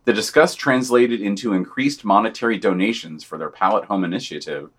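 A man's voice: on the low side, a little fast, fairly bright tone, slightly rough. A man co-hosting a podcast, reading scripted news commentary aloud in a wry, sardonic manner. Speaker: podcast co-host.

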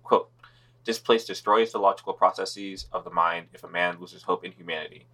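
A man's voice: low voice, steady tone, a little fast, pushed and bright, slightly rough. A man co-hosting a podcast, reading news summaries and quotes in a laid-back, sardonic way. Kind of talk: casual news roundup with read-out quotes.

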